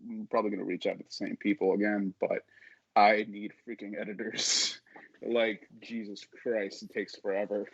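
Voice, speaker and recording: Low-pitched man, dull lo-fi sound. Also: no echo. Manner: rambling, informal. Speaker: podcast guest, audio drama creator. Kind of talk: casual interview talk.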